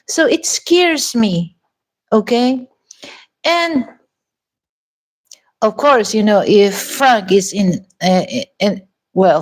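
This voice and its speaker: A woman's voice: a little high, a little mellow. A woman with a Filipino accent talking casually to her viewers in a vlog, rambling with many restarts and "you know"s. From Philippines. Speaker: vlogger.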